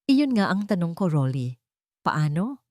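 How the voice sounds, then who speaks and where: A wealthy, guarded male employer in an audio story, performed by a woman narrator. He sounds measured and casual while quietly probing with suspicion. The voice sits fairly low and is recorded dry. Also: sing-song, no room sound.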